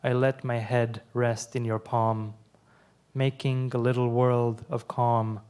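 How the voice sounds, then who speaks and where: Slow, measured male poet reading aloud. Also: mid-range voice, clear, resonant tone. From Iran. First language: Persian. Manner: slow, measured reading with quiet asides between poems. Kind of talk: live poetry reading.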